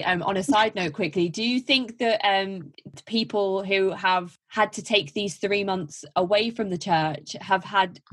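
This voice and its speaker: A woman's voice: medium pitch, fairly bright tone, husky. A woman hosting a podcast interview, asking questions in a hesitant way with frequent restarts. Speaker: podcast host. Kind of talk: casual interview.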